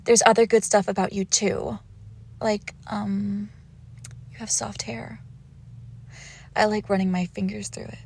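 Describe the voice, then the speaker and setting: Haughty young female vampire, played by a woman, intimate roleplay audio: coy teasing, breathy near-whispers, slow delivery, faint background noise. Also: medium pitch, flat delivery, darkish tone.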